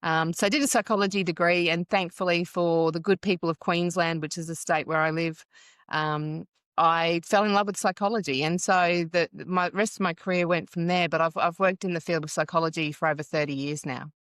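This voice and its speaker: Smooth, chatty woman in her fifties. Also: fairly low pitch, steady tone, brisk, fairly bright tone, no room sound, clean studio sound. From Wales. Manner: chatty, storytelling, frequent ums. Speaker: podcast guest, psychologist. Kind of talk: casual interview chat.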